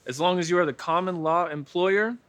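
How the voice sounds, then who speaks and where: Earnest by-the-book accountant sidekick, slightly high pitch, acted by a man. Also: fairly quick, a bit bright, clean tone. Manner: precise, explanatory. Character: helpful male accountant sidekick, precise and earnest.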